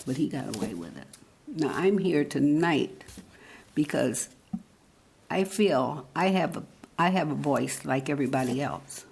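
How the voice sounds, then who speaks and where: A woman in her eighties, speaking at a council meeting's public comment, halting and earnest as she searches for words. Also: deep voice, animated, creaky, a little mellow, husky. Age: 80s. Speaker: resident giving public comment. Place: council chamber podium.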